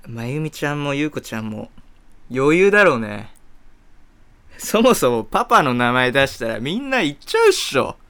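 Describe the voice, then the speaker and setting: A man's voice: mid-range voice, sing-song, moderate pace, fairly bright tone, smooth. A man playing an arrogant, flashy rich playboy in a comedy radio drama, with a pompous, showy drawl. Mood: smug.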